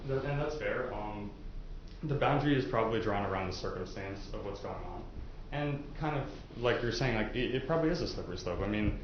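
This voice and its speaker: A low-voiced man works through courtroom questioning in a loose, conversational style, hedging and restarting as he explains. The room is echoey, the sound is slightly dull, and there is faint noise behind him. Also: animated. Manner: halting, conversational, hedging with "I guess" and "you know". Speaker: lawyer questioning prospective jurors. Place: indoor courtroom.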